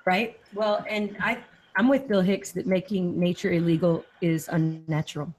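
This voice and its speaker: A woman's voice: on the low side, fairly even intonation, moderate pace, a little hiss or hum, rough recording. A woman from Austin, Texas, hosting a casual live chat and reading viewer comments aloud in a chatty, easily sidetracked way.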